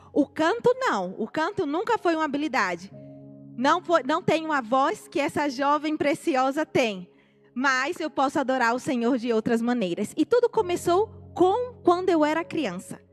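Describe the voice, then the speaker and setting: A woman in her forties with a Northeastern Brazilian accent, a missionary giving her testimony to a congregation and speaking to it directly. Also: high-pitched. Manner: animated, addresses the congregation directly. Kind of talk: testimony sermon. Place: church sanctuary. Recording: live church recording, faint music.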